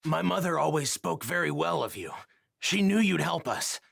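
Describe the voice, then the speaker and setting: An actor playing an earnest, grave young warrior from a doomed future in an anime video game dub, speaking politely and deliberately. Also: male voice, a little high, animated, creaky, a bit bright, breathy edge, dry recording, studio quality, exaggerated voice. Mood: sincere.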